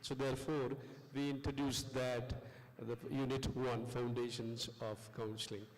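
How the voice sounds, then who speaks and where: A man with a South Indian accent hosting a class session, giving informal instructions in a halting, repetitive way. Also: medium pitch, little pitch movement. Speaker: lecturer and session host. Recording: long echoing hall reverb.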